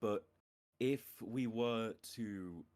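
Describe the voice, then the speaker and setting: A man's voice: lowish voice, drawn-out words, a little mellow. A man casting an esports tournament who interviews a player in a chatty, self-deprecating, joking way.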